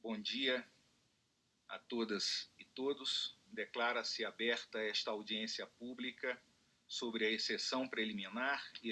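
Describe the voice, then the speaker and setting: A man's voice: medium pitch, sharp tone. A man with a Brazilian Portuguese accent, a native Portuguese speaker, formally opening a court hearing as its presiding judge. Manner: slow, measured, formal.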